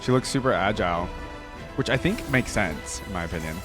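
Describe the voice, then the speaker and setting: A man's voice: lowish voice, expressive, fairly quick, over music. A man hosting a reaction video, talking casually in an informal, slangy, rambling way.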